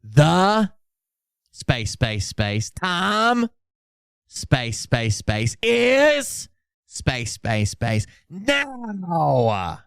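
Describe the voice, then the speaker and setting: A man's voice: a little high, wildly swooping pitch, vocal fry, fairly bright tone, smooth, dry recording, studio quality, heavily acted. A man voicing a grandiose, melodramatic online prophet in a theatrical, mocking dramatic reading. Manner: theatrical, drawn-out, emphatic.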